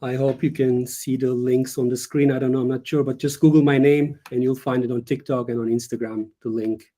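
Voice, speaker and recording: A young man speaks over a video call as a guest author. His tone is mellow and dark. He talks in earnest and lays out each point with short pauses. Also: mid-range voice, little pitch movement. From Turkey. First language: Turkish. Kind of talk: casual interview over a live stream.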